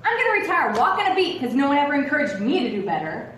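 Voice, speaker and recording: Casting: woman playing veteran female cop, middle-aged, high swooping voice, defensive and patronizing, heard across an echoey theatre. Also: big pitch swings, quick pace, fairly bright tone. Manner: patronizing, calls people darling and hun. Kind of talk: live stage play acting. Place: theatre stage with rows of seats. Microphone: distant camera. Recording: echoey theatre stage from far off, noisy.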